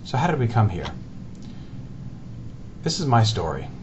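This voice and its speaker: A man's voice: lowish voice, very animated. Earnest man in his forties, lo-fi recording. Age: 40s. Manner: earnest, deliberate, stressing lists of words. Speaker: company founder presenting his business. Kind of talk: earnest pitch presentation. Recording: dull lo-fi sound with clear background noise.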